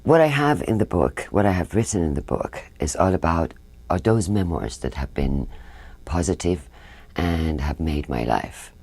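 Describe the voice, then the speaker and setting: A man's voice: low-pitched, moderate pace. An older man from Iran with a Persian accent, a native Farsi speaker, talking about his book in an interview, with frequent hesitations. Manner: hesitant, with many uh fillers, lively intonation. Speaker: author.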